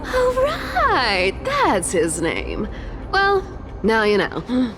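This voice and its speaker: A woman voicing a sly, aloof, playful trickster in a video game, teasing and flippant in her delivery, expressive throughout. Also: medium pitch, drawn-out words, music in the background, exaggerated voice. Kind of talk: video game character acting.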